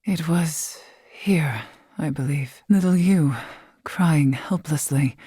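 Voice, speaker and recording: An actress playing a wise, long-lived female scholar in a video game, composed and curious, speaking in formal, measured, eloquent phrases. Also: low-pitched, dry recording, clean studio sound, exaggerated voice. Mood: tender, solemn. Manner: formal, measured, eloquent, softly breathy at times. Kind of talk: scripted video game character dialogue.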